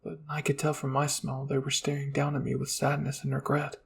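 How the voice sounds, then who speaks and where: A man's voice: medium pitch, completely flat delivery, a little fast, vocal fry, slight background noise. A man narrating scripted fan fiction as a channel host, in a dramatic ASMR roleplay style.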